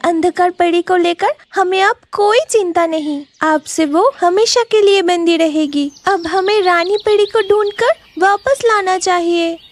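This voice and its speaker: A woman's voice: very high-pitched, unhurried, hardly any pauses. A woman voicing a resolute good fairy in a children's cartoon, earnest and decisive. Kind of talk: Hindi children's cartoon dubbing.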